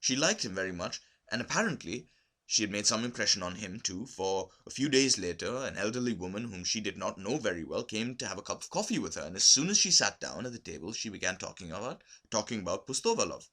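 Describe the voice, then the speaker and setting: A man narrating a literary short story from a script, expressive and deliberate, with emphasis on key words. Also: fairly low pitch. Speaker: amateur audiobook reader.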